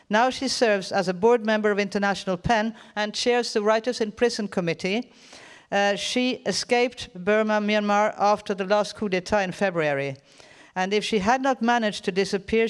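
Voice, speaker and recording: A man's voice: sits high, clear, resonant tone. A man moderating a panel discussion in a hall, introducing speakers in a conversational way with frequent fillers. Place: seminar hall with audience.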